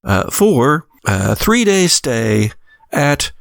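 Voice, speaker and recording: A man working as game master, improvising narration for a tabletop horror game in halting, deliberate phrases. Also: mid-range voice, big pitch swings, creaky, no echo, studio quality.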